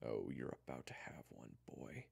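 A man voicing a hot-headed, sarcastic schoolboy with a forceful, confrontational manner in an audiobook-style story. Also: a bass voice, lively intonation, normal speed, creaky, breathy edge, no echo, heavily acted. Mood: threatening, angry.

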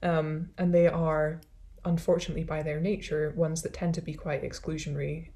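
Scottish female scholar, low register, conversational Q&A delivery, reflective and modest. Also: little pitch movement, moderate pace, mellow. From Scotland. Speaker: academic speaker, social science scholar. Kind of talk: conversational question-and-answer after an academic talk.

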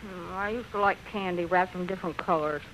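A woman's voice: lowish voice, a little fast, a bit bright, close to an everyday voice. A woman playing a spoiled, bored, complaining rich wife in a 1930s radio drama. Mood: bored, sulky. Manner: whiny, complaining. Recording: muffled old radio recording with noise.

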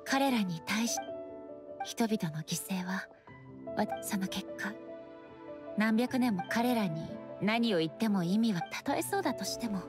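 A woman's voice: mid-range voice, music in the background, natural voice. Dignified, composed goddess ruler played by a woman. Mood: solemn, composed. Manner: formal, measured, calm. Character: dignified female ruler deity, composed, solemn, gentle. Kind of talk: Japanese anime-style game voice acting.

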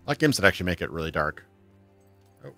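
Deep-voiced man chatting while gaming. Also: big pitch swings, fast, creaky, a bit bright. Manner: chatty, laughs often, reads chat aloud. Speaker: livestream gamer. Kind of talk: casual let's-play chat. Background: faint game music.

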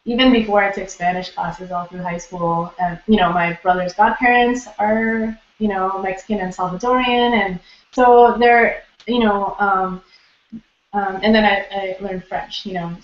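A woman's voice: mid-range voice, steady tone, a little slow. A woman in her thirties, a poet from Los Angeles whose first language is Vietnamese, answering questions thoughtfully over a video call with many fillers. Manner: reflective, with many fillers and restarts. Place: small room indoors. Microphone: laptop webcam on video call. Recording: echoey, dull video call audio.

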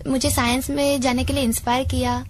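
A woman's voice: high voice, little pitch movement, a little fast, slight background noise. A young woman giving casual answers as an interview guest. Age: young adult. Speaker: interview guest, physiotherapy student.

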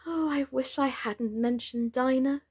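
A young girl from a children's storybook, played by a woman, speaking in a high, light voice. She is polite, eager and earnest, with a lively rise and fall. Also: unhurried. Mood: melancholy. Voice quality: light, girlish. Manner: humble, pleading, earnest. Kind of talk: children's storybook character reading.

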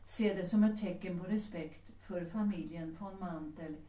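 A woman's voice: on the low side, mellow, natural voice. A woman playing a proud, resolute middle-aged widowed mother in a 1930s audio drama, composed, formal and commanding. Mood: composed, firm. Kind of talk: Swedish audio drama acting. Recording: roomy, distant from the mic.

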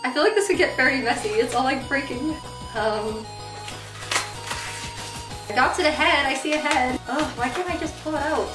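A young woman vlogging casually, rambling and bubbly, a bit sick with a slightly deep voice, recorded by a camera across a small bedroom. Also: moderate pace, pauses often, over music. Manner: bubbly, rambling, lots of "like" and "really". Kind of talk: casual haul video. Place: small bedroom with bare walls. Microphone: camera mic from across the room. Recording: roomy echo, voice a bit far from mic.

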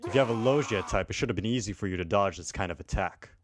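A man's voice: lowish voice, fast talker, close to an everyday voice. A man playing a cool, defiant young pirate fighter in an anime fan dub, speaking in a stern, terse way. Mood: wary.

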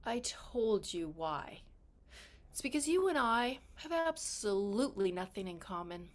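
A woman's voice: mid-range voice, big pitch swings, unhurried. A woman acting a volatile, tearful and stubborn young newlywed wife in a comedy scene, dramatic and repeating her words. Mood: resolved, hurt.

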